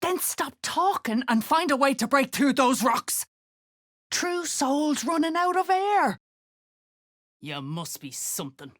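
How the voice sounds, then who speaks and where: Barking, menacing duergar slave-driver sergeant. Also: female voice, high voice, big pitch swings, takes it slowly, fairly bright tone, heavily acted. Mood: impatient, angry. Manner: barking, threatening, coarse slangy speech. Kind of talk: video game character acting.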